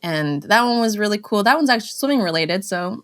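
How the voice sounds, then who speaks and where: A young actress from Canada, chatting as an interview guest, telling lively anecdotes with fillers and laughs. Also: female voice, mid-range voice, fairly quick. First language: Cantonese. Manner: chatty storytelling, laughs often, many fillers. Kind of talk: casual interview chat.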